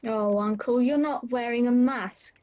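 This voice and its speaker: Woman playing a kindly young nephew, high-voiced. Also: unhurried, darkish tone, natural voice. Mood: gently chiding. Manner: polite, friendly. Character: cheerful, kindly young nephew of the old miser. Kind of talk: radio play acting. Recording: dull, lo-fi video call.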